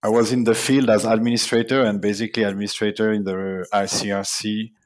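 Swiss man in his fifties, measured. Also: fairly low pitch, level tone. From Switzerland. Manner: measured, recounting, with fillers and restarts. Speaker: guest, people management consultant. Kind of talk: podcast interview.

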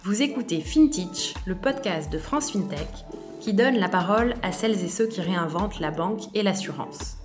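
A woman hosting a podcast, reading a clear, upbeat intro and then asking the guest questions. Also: mid-range voice, expressive, normal speed. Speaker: podcast host. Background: music.

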